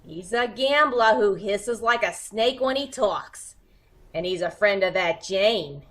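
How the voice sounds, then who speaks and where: Woman acting excitable lovesick gangster moll, swooping voice. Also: medium pitch, takes it slowly. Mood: scornful. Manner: slangy, melodramatic. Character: cute, tough, lovesick female would-be killer, excitable gangland moll. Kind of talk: old-time radio comedy-mystery acting.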